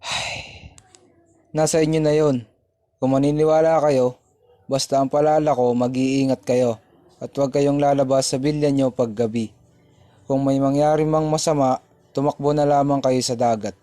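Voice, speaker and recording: A man's voice: mid-range voice, fairly even intonation, moderate pace, long pauses, dark tone, no room sound, close to an everyday voice. A man playing a grave old islander who gives cautious warnings in an amateur radio drama. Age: older.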